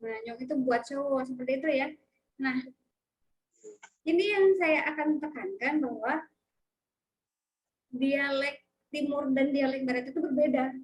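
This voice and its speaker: A woman with a high voice teaches an online lecture over a video call. She keeps checking that students follow and prods them with questions. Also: fairly even intonation, a little slow, pauses often, low-quality recording. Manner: questioning, prompts students with frequent tag questions. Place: home, online class.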